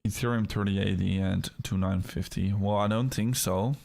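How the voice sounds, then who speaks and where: Young Dutch-accented male streamer, low-pitched and gravelly, rambling casual chat, slightly under the weather. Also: steady tone, a little mellow. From Netherlands. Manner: rambling, halting, with frequent fillers and restarts. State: feeling a little ill. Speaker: crypto livestream host.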